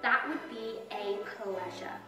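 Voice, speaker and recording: A woman's voice: mid-range voice, slow, far from the mic, close to an everyday voice. Woman playing a kindly old postman, roomy sound. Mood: grateful. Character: kindly old male retired village postman mouse. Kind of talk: children's storybook character voice. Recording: roomy echo, music behind.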